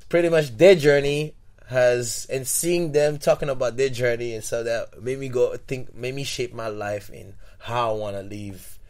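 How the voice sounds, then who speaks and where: A man's voice: mid-range voice, a little mellow. A young man from Togo, a podcast guest, talking in a rambling, reflective way with many restarts and repeated words. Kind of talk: casual interview.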